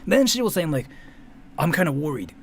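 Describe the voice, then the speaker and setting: Lively japanese guy, native japanese speaker, rambling casual youtube commentary, voice swings up and down, some noise behind him. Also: fairly high pitch, brisk. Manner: rambling, repeats himself, often checks with "right?".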